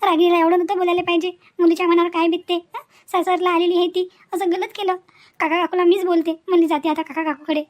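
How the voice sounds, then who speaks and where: A woman voicing an outspoken, protective young married woman in an animated family drama, emphatic and full of indignant questions. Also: very high-pitched, fast talker, no room sound.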